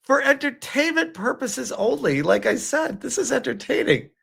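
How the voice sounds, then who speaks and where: Animated male streamer with swooping pitch. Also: normal speed, creaky, slightly rough. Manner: exasperated, sarcastic, chuckling, swearing. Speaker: streamer reading and reacting to a game review with live chat. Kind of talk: casual livestream commentary.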